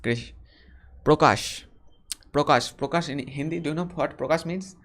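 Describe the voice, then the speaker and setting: Higher-voiced young Bengali Indian man. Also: a bit bright. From West Bengal, India. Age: early 20s. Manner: deliberate, repeats phrases. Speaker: YouTuber hosting a Q&A live stream. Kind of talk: casual question-and-answer talk to viewers.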